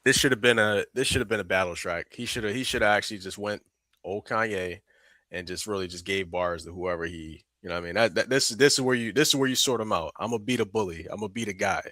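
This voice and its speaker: Male co-host, laid-back and hedging, bright tone, dry sound. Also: lowish voice, quick pace, dry recording. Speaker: podcast co-host reviewing an album. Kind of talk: casual music review chat.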